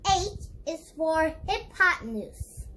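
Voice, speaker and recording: High-pitched, lively child reading aloud slowly. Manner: deliberate, instructive, addresses viewers directly. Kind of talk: read-aloud of a children's educational book.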